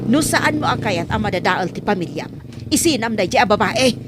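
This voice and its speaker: A woman's voice: mid-range voice, lively intonation, brisk, close to an everyday voice. A woman with a Filipino Ilocano accent playing a stern, suspicious, scolding mother in a radio drama. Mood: indignant, scolding. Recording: noisy outdoor street ambience with vehicles.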